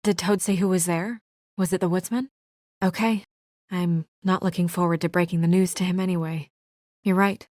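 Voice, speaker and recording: A woman's voice: lowish voice, steady tone, normal speed, a little mellow, dry recording, lightly acted. A woman acting as a composed, earnest young investigator in a noir fantasy video game, serious and restrained with a dry edge. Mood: businesslike. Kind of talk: video game voice acting, naturalistic dramatic dialogue.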